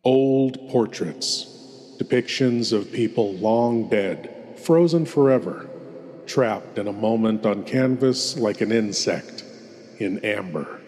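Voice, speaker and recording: A man playing a suave, eerie horror host lord, introducing tales in a theatrical, deliberate manner with dramatic pauses. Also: fairly low pitch, darkish tone. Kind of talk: scripted old-time radio horror host narration. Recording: long cavernous reverb.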